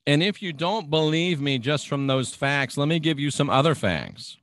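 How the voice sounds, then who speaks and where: A man's voice: on the high side, lively intonation, normal speed, fairly bright tone, no echo, clean studio sound. An American man hosting a radio show, delivering an insistent, preachy monologue and stacking up repeated phrases for emphasis.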